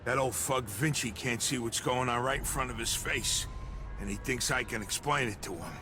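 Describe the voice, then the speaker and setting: An actor playing a crude, defiant mobster in a video game. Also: male voice, medium pitch, normal speed, noisy background, lightly acted. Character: brash male mobster, foul-mouthed.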